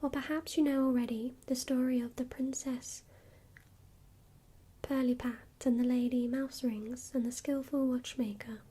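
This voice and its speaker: A woman whispering the lines of a strange old male clockmaker godfather in a grating, monotonous manner. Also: sits high, measured pace, husky. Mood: teasing.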